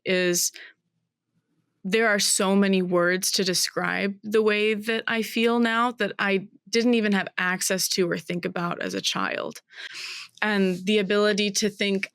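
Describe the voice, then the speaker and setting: A woman in her twenties, a guest in an interview, talking in a thoughtful, searching way with many pauses and restarts. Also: medium pitch, steady tone, fairly bright tone, clean tone, no room sound, studio quality. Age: 20s. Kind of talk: reflective interview conversation.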